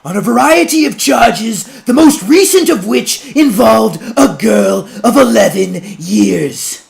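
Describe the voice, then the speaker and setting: A man playing an imposing orator in an audiobook, loudly denouncing someone in formal, declamatory, mocking tones with a strained, projected voice. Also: high-pitched, lively intonation, takes it slowly, vocal fry, pitched above the performer's own voice, exaggerated voice. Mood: thundering accusation. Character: imposing orator denouncing a preacher, loud, righteous, coldly mocking.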